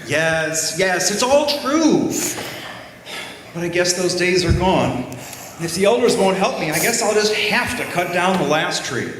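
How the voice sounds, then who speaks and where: Man playing an anxious, high-voiced servant, very echoey. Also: animated, measured pace, a bit bright. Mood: resigned. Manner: hesitant, flustered, pleading. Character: anxious, deferential, kind-hearted royal lackey. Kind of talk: community readers' theater. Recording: very echoey hall, faint room noise.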